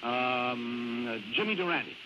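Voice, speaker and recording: A man's voice: mid-range voice, animated, takes it slowly. An American man playing comic sidekick in scripted 1940s radio banter. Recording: muffled, very noisy 1940s radio broadcast.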